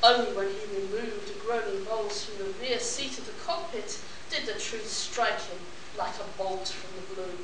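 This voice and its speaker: A woman's voice: medium pitch, fairly even intonation, moderate pace, hardly any pauses. A woman reading dramatic story narration for a live radio play. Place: live stage performance at a convention. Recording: very echoey, distant, noisy live recording.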